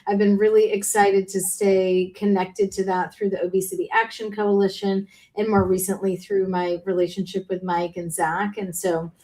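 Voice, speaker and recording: A woman's voice: mid-range voice, flat delivery, moderate pace, hardly any pauses, dark tone, poor audio. A woman with a mid-range voice introducing herself on a panel. She sounds upbeat and eager, peppering her talk with ums and small restarts. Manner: upbeat, enthusiastic, with many fillers. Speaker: panelist with a nutrition and psychology background. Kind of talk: casual panel conversation.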